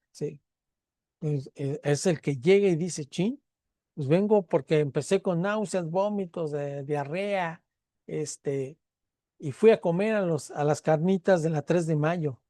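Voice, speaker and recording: A man's voice: fairly high pitch, measured pace, mellow, no echo, clean studio sound. A man with a Mexican Spanish accent giving a university lecture in a conversational way, acting out patient dialogues and checking in with students. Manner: conversational, acts out patient dialogues, frequent fillers and tag questions.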